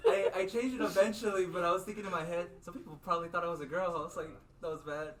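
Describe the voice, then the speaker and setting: A man's voice: sits high, fairly even intonation, fast talker, a bit bright. A man in his twenties chatting casually on a podcast, rambling with many restarts. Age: 20s. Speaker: esports team member. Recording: echoey room, mic far off.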